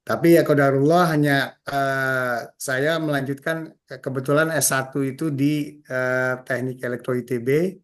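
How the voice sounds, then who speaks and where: A hoarse man, chair of an association, speaking hesitantly with many fillers on a video call. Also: medium pitch, steady tone, measured pace, hardly any pauses.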